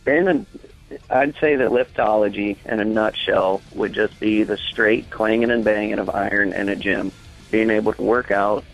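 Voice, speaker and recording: A man's voice: lowish voice, fairly even intonation, normal speed, creaky, faint music behind, poor audio. A man giving a casual comic interview as an expert caller, matter-of-fact and opinionated.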